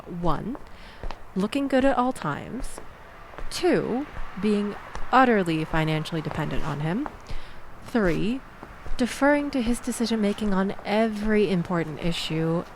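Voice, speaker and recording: A woman playing a sarcastic young woman venting about her evening in an intimate, conversational audio roleplay, with expressive, wry delivery. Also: mid-range voice, slight background noise, poor audio. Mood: sarcastic, indignant. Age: young adult. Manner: wry, sarcastic, animated storytelling with sighs and laughs.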